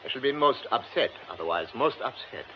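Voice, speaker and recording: An actor playing a formal, insistent gentleman who speaks with hesitant stammers. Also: medium pitch, expressive, brisk, natural voice. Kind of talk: radio drama acting. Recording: dull old radio recording with hiss.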